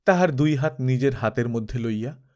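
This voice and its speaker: A man narrating a classic novel as an audiobook, with solemn, expressive and measured phrasing. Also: medium pitch. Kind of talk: scripted literary narration, dramatic storytelling.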